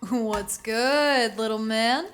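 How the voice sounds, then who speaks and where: Female actor as mellow middle-aged ewe mother, teasing, easygoing, slow delivery, fairly high pitch, audio drama. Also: slight background noise. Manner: laid-back, teasing. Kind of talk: audio drama character acting.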